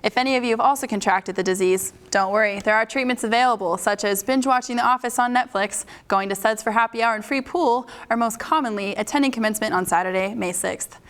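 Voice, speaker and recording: A woman's voice: a little high, fast talker, few pauses. A young American woman co-anchoring a scripted satirical student newscast. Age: young adult.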